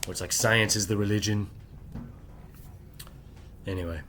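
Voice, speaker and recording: A man with a low-set voice speaks in a loose, rambling late-night monologue and keeps checking in with a repeated "right?". He holds the volume down at home, and a faint hiss of room noise sits behind him. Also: fairly even intonation, normal speed, fairly bright tone. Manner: keeps voice down while family sleeps. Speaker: channel host.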